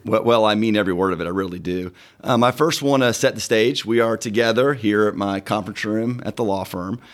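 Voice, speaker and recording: A man's voice: on the low side, brisk. A middle-aged man hosting a podcast in a law firm conference room, upbeat, friendly and sincere. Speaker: podcast host and lawyer. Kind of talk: casual podcast chat.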